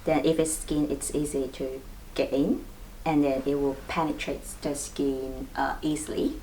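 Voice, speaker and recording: Low, slow-paced woman acupuncturist. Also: little pitch movement, warm tone. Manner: patient, explains step by step with light laughs. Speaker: acupuncturist and host. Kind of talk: explanatory demonstration. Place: acupuncture clinic room. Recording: distant mic, faint room noise.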